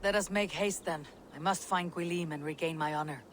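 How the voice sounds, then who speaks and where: A woman's voice: lowish voice, a little fast, a little hiss or hum, lightly acted. Woman acting resolute Viking warrior woman, hooves behind. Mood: earnest, measured. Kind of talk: video game drama acting. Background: horse hooves and outdoor ambience.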